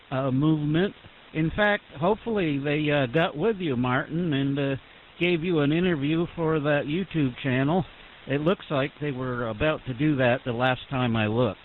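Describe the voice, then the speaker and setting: A faint hiss sits on narrow, phone-like radio audio as an American man gives his report. He is a ham operator with a slightly high voice, chatting in an informal, folksy way. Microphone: internet-linked ham radio transmission.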